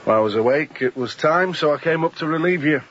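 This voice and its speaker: An actor playing a ship's second mate, dutiful and formal with a dryly insolent edge, in radio drama style. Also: male voice, fairly high pitch, expressive, fast talker, muffled recording, a little hiss or hum, lightly acted. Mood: formal, dryly teasing.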